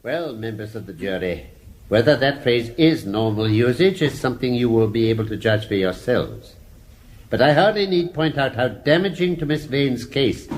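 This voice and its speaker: Radio drama judge male actor: British, formal, precise, deliberate, mid-pitched with lively inflection. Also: natural voice. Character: formal, measured male judge summing up a murder case. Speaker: judge summing up to a jury.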